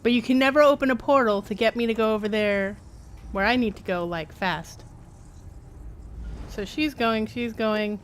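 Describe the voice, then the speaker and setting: A woman's voice: mid-range voice, a bit bright, a little hiss or hum. A woman giving casual, playful live commentary as a game streamer, with wry asides.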